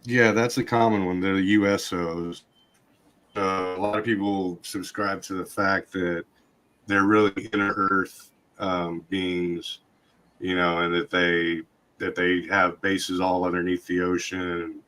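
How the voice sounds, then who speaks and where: A man hosting a podcast in casual chat, his voice hoarse and scratchy from a long night of talking. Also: low voice, flat delivery, unhurried, a bit bright. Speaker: podcast host.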